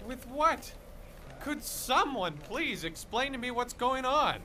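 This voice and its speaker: A man's voice: very high-pitched, sing-song, slow pace, pushed and bright, slight background noise, exaggerated voice. An actor playing a weary, sarcastic male war correspondent dragged from his bed. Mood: wry. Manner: sarcastic, exasperated. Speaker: radio broadcaster figure. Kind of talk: audio drama acting.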